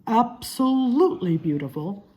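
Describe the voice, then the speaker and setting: A woman voicing a mild storybook husband, reading his words slowly and with emphasis. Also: fairly high pitch, expressive, mellow, low-quality recording. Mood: pleasantly emphatic. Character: mild, puckered storybook husband, adult man.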